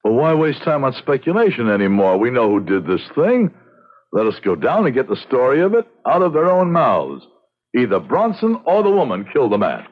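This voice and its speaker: An actor playing a rational, impatient patrician frontier judge, formal and emphatic in a theatrical old-time radio style. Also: male voice, medium pitch, big pitch swings, brisk, narrow, tinny audio.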